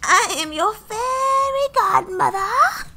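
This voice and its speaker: A woman voicing a sweet, sly old fairy godmother in a roleplay story, with sugary, drawn-out, theatrical delivery. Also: extremely high pitch, animated, vocal fry, pushed and bright, voice pushed up, exaggerated voice. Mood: sweet, coaxing.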